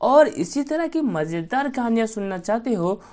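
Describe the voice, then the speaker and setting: A woman's voice: fairly high pitch, sing-song, normal speed, dark tone. A woman storyteller narrating a bedtime folk tale for children.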